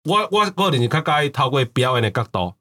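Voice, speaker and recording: A man's voice: mid-range voice, very animated, fast, bright, forceful tone. A man with a Taiwanese accent hosting a casual chat in a folksy, jokey way. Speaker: podcast host.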